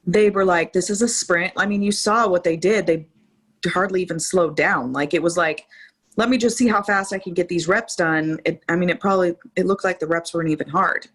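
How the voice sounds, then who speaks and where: A woman's voice: fairly low pitch, a little fast, breathy edge. A woman talking as a guest on a podcast, chatty and peppering her speech with "like". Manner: chatty, heavy use of "like". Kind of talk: casual chat.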